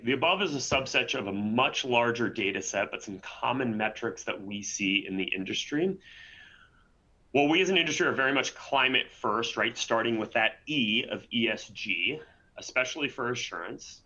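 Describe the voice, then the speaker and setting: A man's voice: medium pitch, expressive, normal speed, bright, forceful tone, dull audio. A man hosting and presenting a webinar in a conversational way, often tagging on "right?". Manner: conversational, frequent "right?" tags.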